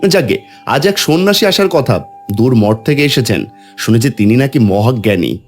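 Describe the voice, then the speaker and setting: A man's voice: mid-range voice, lively intonation, normal speed, soft background music, natural voice. Man acting a devout, emotional father, swooping delivery. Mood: fond worry. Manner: reverent, emotional, pleading. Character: devout, anxious landowner father, humble and desperate. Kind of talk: dramatic devotional cartoon dialogue.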